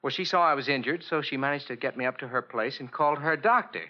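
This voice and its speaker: Actor as troubled young amnesiac, muffled old radio. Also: male voice, mid-range voice, fast, natural voice. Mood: earnest, uneasy. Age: young adult. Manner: hesitant, explanatory. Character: troubled young man with amnesia, earnest and anxious. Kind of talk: 1940s radio acting.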